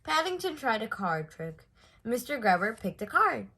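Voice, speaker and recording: A woman reading a children's story aloud in an expressive way, stressing words for effect. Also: a little high, moderate pace, slightly rough. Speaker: storybook reader.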